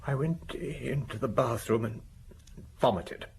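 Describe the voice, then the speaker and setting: An actor playing a shaken, vain husband in a radio drama, speaking in a halting, anguished, defensive way. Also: male voice, mid-range voice, creaky, a little mellow, a little hiss or hum. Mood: shaken, horrified. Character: shaken husband, a vain well-dressed provincial, wife found murdered.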